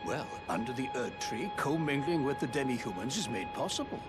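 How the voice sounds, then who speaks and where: An actor playing a pompous, self-important noble lord with a grand, theatrical manner, in a game cutscene. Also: male voice, medium pitch, very animated, fairly quick, close to an everyday voice. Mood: pleased. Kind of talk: video game voice acting. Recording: game cutscene with loud music.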